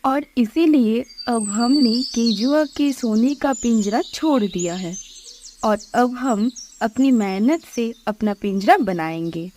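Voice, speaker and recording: A woman's voice: on the high side, expressive, takes it slowly, warm tone, slight background noise. A woman voicing chatty, earnest female animals in a children's cartoon fable.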